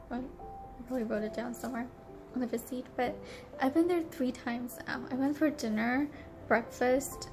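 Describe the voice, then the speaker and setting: A young woman with a stuffy, congested nasal voice, chatting casually in a travel vlog, rambling and giggly with lots of fillers. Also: sits high. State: congested from allergies. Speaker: travel vlogger. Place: hotel room.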